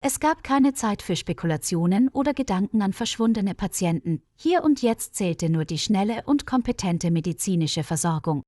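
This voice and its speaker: A woman reading a scripted story as narrator, in a measured, clearly enunciated way. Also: mid-range voice, animated, dark tone, dry recording, studio quality. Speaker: audiobook narrator of a German learners' story.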